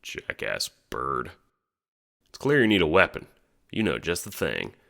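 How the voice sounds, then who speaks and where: A man's voice: deep voice, huge pitch swings, fairly quick, creaky, fairly bright tone. A man narrating a second-person audio drama in a sardonic, sweary manner.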